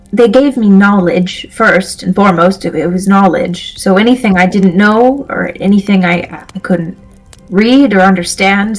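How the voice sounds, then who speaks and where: A woman voicing a timid, earnest male adventurer in an improvised tabletop audio drama, speaking in halting, awkward stammers. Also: mid-range voice, slightly rough, rough recording. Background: music.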